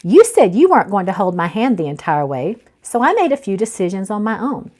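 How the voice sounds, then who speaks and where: A woman's voice: mid-range voice, very animated, fairly quick, darkish tone. Woman acting sassy, confident young schemer. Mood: defensive.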